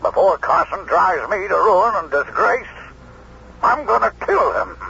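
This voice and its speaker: A man's voice: high-pitched, lively intonation, measured pace, gravelly, sharp tone, heavily acted. An actor playing a bitter, desperate businessman full of threats, in 1970s radio drama style. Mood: furious desperation. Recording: muffled 1970s radio recording, faint noise.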